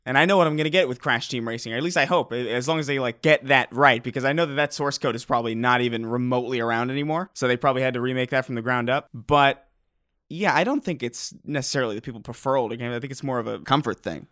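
Excitable young man chatting about games. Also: mid-range voice, quick pace. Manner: excitable, with many restarts. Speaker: podcast co-host. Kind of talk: casual podcast chat.

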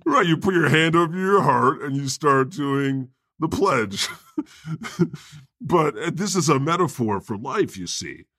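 A man's voice: medium pitch, fairly bright tone, dry recording, clean studio sound. A man co-hosting a podcast with casual, irreverent, sweary comedic banter about the news. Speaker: podcast co-host.

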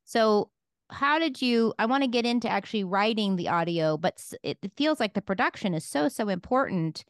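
Female interviewer, mid-range voice in a dry room, casual, thinking out loud as she asks. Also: mid-range voice, animated, a little fast, dry recording. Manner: thinks aloud, piles up questions.

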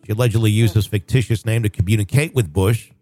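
Low-voiced podcast host. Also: male voice, fairly even intonation, fast talker, warm tone, faint music behind. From northwest Arkansas, USA. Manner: rambling, frequent fillers and restarts. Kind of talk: casual podcast chat.

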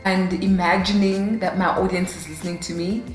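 A woman's voice: fairly low pitch, little pitch movement, fairly quick, echoey room, soft background music, low-quality recording. A woman in her late twenties hosting her own podcast alone at a mic, chatty and upbeat with self-deprecating humor. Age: 20s. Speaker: podcast host. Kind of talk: casual solo podcast talk. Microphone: studio mic, alone in a room.

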